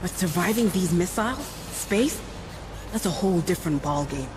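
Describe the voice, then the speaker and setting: An actor playing a practical ship engineer in a video game cutscene, talking in a plainspoken, wry, reassuring way. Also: male voice, high-pitched, animated, normal speed, music in the background, poor audio. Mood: matter-of-fact. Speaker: chief engineer. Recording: game audio with ambient noise.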